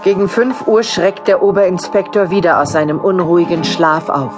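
A woman's voice: fairly low pitch, fairly even intonation, moderate pace, music in the background, close to an everyday voice. A woman narrating a horror radio play in a dramatic, scripted style.

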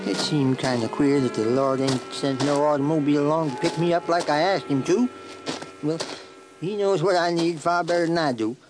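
A man's voice: on the high side, normal speed, over music, natural voice, poor audio. An actor playing a kindly, trusting, devout old man with a rural American accent in a children's radio drama. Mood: hopeful, trusting. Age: older. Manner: folksy, homespun, earnest.

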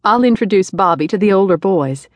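Man putting on a patient mother's voice, high, lively, firm but gentle, dry close sound. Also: fairly quick, no echo. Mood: friendly. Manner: patient, scolding softly.

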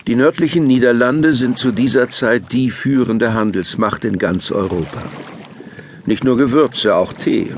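A man narrating a scripted children's audiobook in a measured, explanatory way. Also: lowish voice, slight background noise.

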